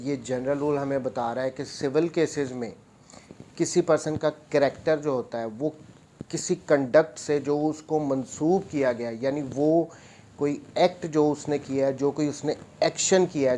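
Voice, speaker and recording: A man's voice: a little high, dark tone, a little hiss or hum. A man with a Pakistani accent lecturing on law in an explanatory, didactic way, mixing in English legal terms and repeating key points for emphasis.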